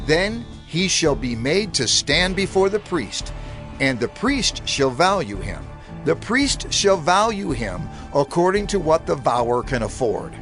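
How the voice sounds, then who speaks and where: A man voicing the Lord as he lays down laws, reading in a measured, declamatory way for a dramatized scripture recording. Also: mid-range voice, a bit bright. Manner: measured, declamatory reading with rising and falling stresses. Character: the Lord giving laws, a stately divine male voice.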